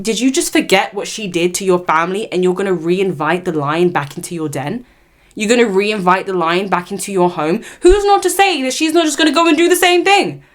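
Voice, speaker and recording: A woman giving an opinionated commentary monologue, sarcastic and mocking, slipping into parody voices. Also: mid-range voice. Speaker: commentary vlogger.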